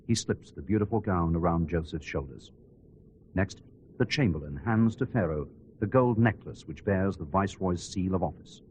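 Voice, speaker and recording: A man playing a radio reporter who gives solemn, measured, descriptive live commentary on a royal ceremony. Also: deep voice, darkish tone, lo-fi sound. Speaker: outside-broadcast commentator. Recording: clear background noise and ambience.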